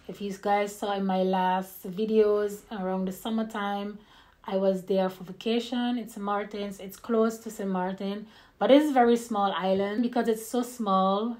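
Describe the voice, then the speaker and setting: A woman's voice: medium pitch, a little mellow. A young woman from Haiti, a vlogger chatting to camera in a casual, open and personal way, a little nervous, with small laughs. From Haiti, raised in the Caribbean. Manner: nervous, by her own account. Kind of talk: casual get-to-know-me chat to camera.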